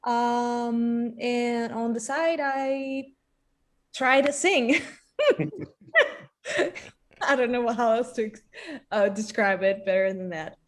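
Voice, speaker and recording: Woman from Greece, guest singer, unhurried, hesitant, a bit high. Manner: shy, hesitant, laughs often. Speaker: singer and tattoo shop owner. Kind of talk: casual chat.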